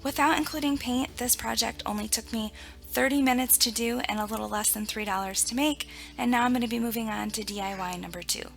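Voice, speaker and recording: A woman with a heavy, gravelly rasp, hoarse from being sick, talks through a DIY craft tutorial. Her voice sits a little high, and she explains each step plainly and chattily. Soft music plays faintly behind her. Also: fairly quick. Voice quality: raspy. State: raspy voice from recent illness. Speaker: home decor DIY channel host.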